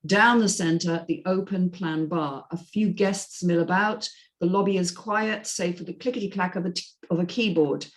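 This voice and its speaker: A woman's voice: on the low side, moderate pace. A woman reading scripted screenplay narration and stage directions as the narrator of a drama table read.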